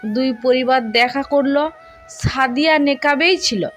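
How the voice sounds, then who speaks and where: High-pitched woman narrating a romantic story. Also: measured pace, soft background music.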